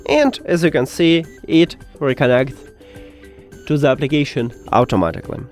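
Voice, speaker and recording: Guy w doing step by step tech howto, bouncy intonation, music behind him. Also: fairly high pitch. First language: not English. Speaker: tech tutorial host. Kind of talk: step-by-step spoken tutorial.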